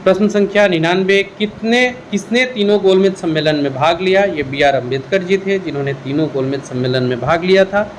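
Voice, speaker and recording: A man's voice: high-pitched, a little fast, few pauses, a little hiss or hum, rough recording. A man teaching an exam-prep lesson, reading out questions and explaining the answers with some English words mixed in.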